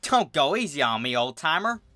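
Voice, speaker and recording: A man's voice: on the high side, big pitch swings, normal speed, bright, forceful tone, dry recording, clean studio sound, soft background music. A man voicing a small, young female calf, bold, sassy and defiant, in a storybook reading. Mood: sassy challenge. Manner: defiant, crowing.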